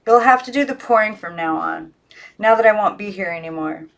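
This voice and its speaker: A woman voicing a lonely young girl who speaks plainly and gently, in a storybook reading. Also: mid-range voice, brisk, creaky, natural voice. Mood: tender, caring. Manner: plain, gentle, short answers. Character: Maggie, lonely young girl, tender and matter-of-fact.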